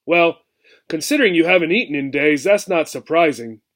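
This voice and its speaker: A man playing a gruff, huffy elderly storybook mouse. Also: on the high side, lively intonation, fairly quick, a bit bright. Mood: gruffly caring.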